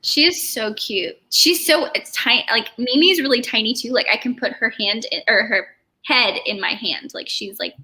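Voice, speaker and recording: A woman's voice: a little high, moderate pace, pushed and bright. A woman co-hosting a livestream in casual, chatty talk sprinkled with "like". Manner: chatty, peppers speech with "like". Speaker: livestream co-host. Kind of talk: casual chat.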